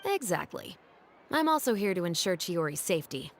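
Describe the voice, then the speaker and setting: An actress playing a dutiful, wary patrol captain in a game, speaking in a dry, measured, skeptical way. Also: female voice, on the low side, sing-song. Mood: serious. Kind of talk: game cutscene voice acting. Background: game music.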